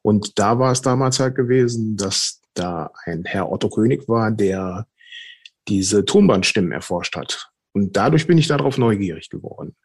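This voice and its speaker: A man's voice: on the low side, lively intonation, normal speed, breathy edge, no echo. A man in his early fifties telling his story casually and conversationally as an interview guest. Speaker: podcast guest, team member.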